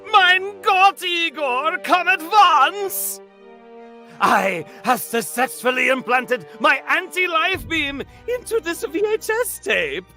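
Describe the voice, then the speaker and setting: A man voicing an excitable crackpot scientist with a German accent and a theatrical, exclamatory delivery. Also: extremely high pitch, slow pace, soft background music, pitched above the performer's own voice, exaggerated voice. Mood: triumphant.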